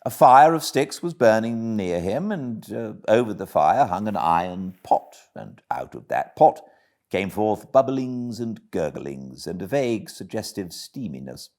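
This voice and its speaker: British male audiobook narrator, deep-leaning voice, animated classic storytelling. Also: measured pace. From Britain.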